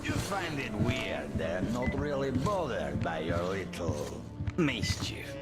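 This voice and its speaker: An actor playing a suave, menacing warlord baron in a video game, speaking in a deliberate, pompous and courteous way with a gravelly voice. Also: male voice, on the low side, wildly swooping pitch, over loud music, full character voice. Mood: smug calm. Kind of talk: dramatic video game cutscene acting.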